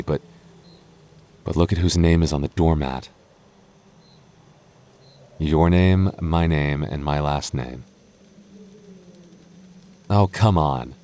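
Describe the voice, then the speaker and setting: A man playing a protective, tender young goth boyfriend in a roleplay audio drama, talking haltingly and intimately to his partner. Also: sits low, a little mellow. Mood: hesitant, hopeful. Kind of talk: boyfriend ASMR roleplay, acted conversationally to the listener.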